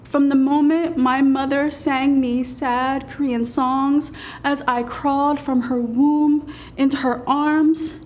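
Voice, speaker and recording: A woman poet gives a dramatic spoken-word recital in a high-pitched voice. She moves very slowly and deliberately through each phrase, leaving weighted pauses, and keeps a solemn manner. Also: level tone, darkish tone, a little hiss or hum.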